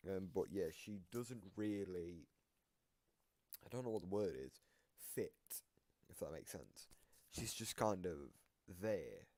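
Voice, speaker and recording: A man giving casual, analytical reaction commentary, explaining things in a halting, rambling way that circles back on repeated phrases. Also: low-pitched, darkish tone, dry recording. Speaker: reactor explaining game voice lines.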